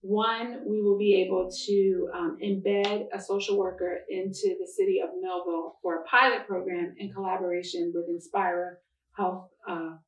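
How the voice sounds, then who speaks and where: A woman's voice: medium pitch, little pitch movement, moderate pace, dull audio. Echoey, distant woman speaking as a prosecutor. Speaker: county prosecutor. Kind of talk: formal spoken remarks at a public announcement. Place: church interior. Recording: echoey room, distant microphone.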